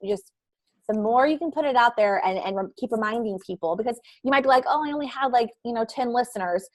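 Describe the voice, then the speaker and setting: A woman talking casually and excitedly about podcasting, laughing often and running sentences together. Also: a little high. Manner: bubbly, laughs often, fast run-on sentences. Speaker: podcaster guest. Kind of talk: casual panel chat.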